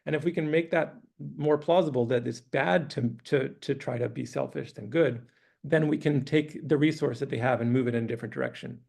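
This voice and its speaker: A man giving a relaxed university lecture, thinking aloud with many pauses, clearing his throat as he loses his voice. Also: medium pitch. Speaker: guest lecturer. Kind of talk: academic lecture with questions. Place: university classroom.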